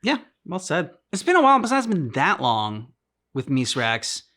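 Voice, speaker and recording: A man hosting a reaction channel, casually discussing a game's story in a halting way, repeating words as he thinks aloud. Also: a little high, wildly swooping pitch, bright, forceful tone. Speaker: host of a game reaction channel.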